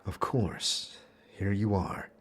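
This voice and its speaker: A man's voice: fairly low pitch, slow pace, slightly rough. A man as a hesitant scarred wanderer. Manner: hesitant, stammering, mild. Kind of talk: fan audio drama acting.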